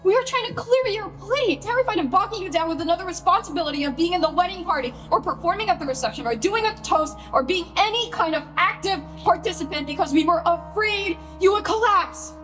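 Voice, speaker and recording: A woman's voice: very high-pitched, lively intonation, fairly quick, hardly any pauses, fairly bright tone, soft background music. An actress playing a hurt, furious bride confronting her famous sister in a naturalistic radio play, scolding and pleading with emphatic profanity.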